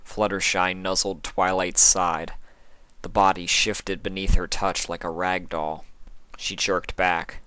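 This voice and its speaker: A narrator giving a measured, expressive, dramatic reading of a fan fiction story. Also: deep voice, clear, resonant tone, dry recording.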